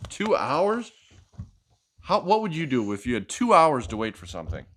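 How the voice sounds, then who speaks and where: A man's voice: medium pitch, wildly swooping pitch, moderate pace, a bit bright. A man hosting a children's storytime, reading a picture book expressively with playful, chatty asides to a child. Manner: playful, asking questions and echoing the child's answers.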